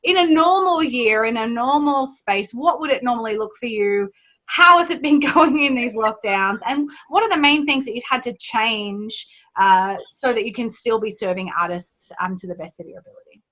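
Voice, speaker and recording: A woman's voice: fairly high pitch, normal speed, fairly bright tone, muffled recording. A woman hosting an online summit interview, chatty and enthusiastic over a video call. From Australia. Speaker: summit host.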